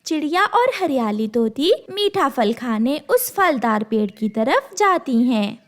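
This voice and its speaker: A woman's voice: high voice, big pitch swings, unhurried. A woman storyteller reading scripted narration for a children's cartoon.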